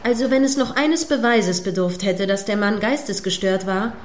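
A woman's voice: mid-range voice, moderate pace, slight background noise, lightly acted. A woman playing an indignant, moralizing radio reporter giving live commentary on a standoff in a radio play. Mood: shocked, moralizing.

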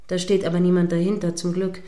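A woman's voice: fairly low pitch, flat delivery, quick pace, warm tone, lightly acted. Indignant female radio reporter played by an actress. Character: indignant, moralizing female radio reporter describing a live standoff. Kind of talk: radio play live news commentary.

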